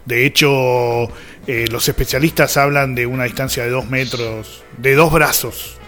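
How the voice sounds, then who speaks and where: A man's voice: medium pitch, steady tone, measured pace, bright, forceful tone. Male co-host, Argentine porteño accent, middle-register voice, informal commentary with music underneath. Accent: Argentine, Buenos Aires. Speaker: radio co-host. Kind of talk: casual chat and commentary.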